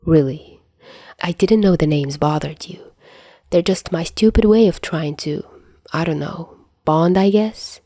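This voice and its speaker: A woman voicing a remorseful, protective male superhero mentor in a fan audio drama, softly and tenderly, with halting reassurance. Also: sits low, no echo, studio quality. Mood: tender, awkward. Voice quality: soft.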